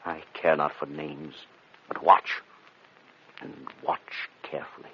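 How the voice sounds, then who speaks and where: Low-voiced, commanding hypnotist. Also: male voice, flat delivery, husky, heavily acted. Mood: grave, defiant. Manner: solemn, deliberate, commanding. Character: intense, commanding male hypnotist obsessed with death's mystery. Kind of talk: gothic radio drama acting. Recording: dull radio recording with faint noise.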